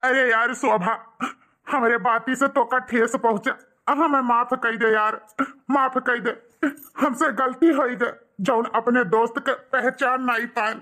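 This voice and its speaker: A man's voice: extremely high pitch, fairly even intonation, measured pace, pushed and bright, heavily acted. A man voicing a humble, injured village father in a cartoon, with an Awadhi accent and a sentimental, pleading delivery. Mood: apologetic, moved.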